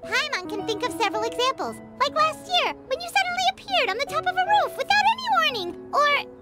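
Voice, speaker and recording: Squeaky, sky-high childlike fairy guide. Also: female voice, a little slow, over music, exaggerated voice. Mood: cheeky. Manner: chatty, excitable, refers to herself in third person. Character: tiny bubbly childlike female fairy guide, chatty and cheeky. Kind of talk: animated video game voice acting.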